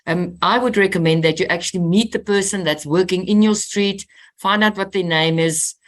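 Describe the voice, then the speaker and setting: Woman, manager presenting in a webinar, low voice, direct and practical. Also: normal speed. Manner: warm advice-giving, direct to residents. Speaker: collection and training manager. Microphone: video call.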